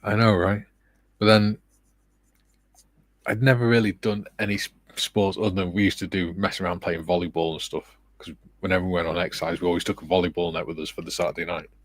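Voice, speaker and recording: A middle-aged man hosting a relaxed livestream, reading viewer comments aloud with a soft, soothing, self-deprecating manner and frequent laughs. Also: low-pitched, brisk, no room sound. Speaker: livestream host.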